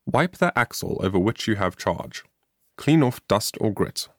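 Guy reading an audiobook story, earnest and formal, lowish voice, really dry recording. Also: animated, measured pace, dry recording. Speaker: audiobook narrator. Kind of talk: scripted story narration, moralizing tale.

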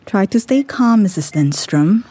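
An actress playing an urgent, alarmed hospital nurse in an audio drama. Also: female voice, mid-range voice, lively intonation, measured pace, dark tone, slightly rough. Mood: urgent, controlled. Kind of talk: immersive audio drama acting.

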